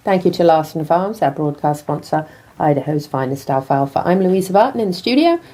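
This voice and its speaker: A woman's voice: low-pitched, lively intonation, dark tone. Upbeat British woman hosting a talk show. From Britain. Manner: upbeat, quick sponsor reads. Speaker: host. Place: studio.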